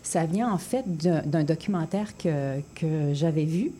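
A woman's voice: low voice, a little slow, mellow, a little hiss or hum. A woman from Quebec, a poet guest in a radio interview, talking hesitantly with many fillers and restarts. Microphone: studio microphone.

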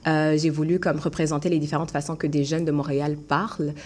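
A woman's voice: sits low, flat delivery, a little fast, darkish tone. A young woman, an actress and playwright, speaking French without a Québécois accent, talking thoughtfully in an interview with frequent restarts and fillers. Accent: non-Québécois French. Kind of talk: casual interview chat.

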